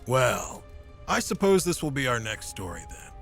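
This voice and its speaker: A man playing a knight in an audio drama, with a husky voice. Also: mid-range voice, very animated, normal speed, fairly bright tone, soft background music. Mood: reflective. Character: older veteran knight telling stories, also his eager younger self. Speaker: lead character actor.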